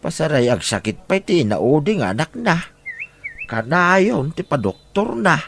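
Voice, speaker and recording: A man's voice: a little high, big pitch swings, a little slow, exaggerated voice. A man playing a humble older farmer and grandfather, talking deferentially in an audio drama. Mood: worried, humble. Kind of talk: conversational audio drama acting. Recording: clearly noisy background.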